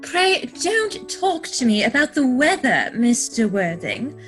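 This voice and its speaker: A woman's voice: sits high, big pitch swings, takes it slowly, a bit bright, soft background music, heavily acted. A woman playing a prim, self-assured young society lady in a stage comedy reading, affected, coy and precise. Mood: coy, confident.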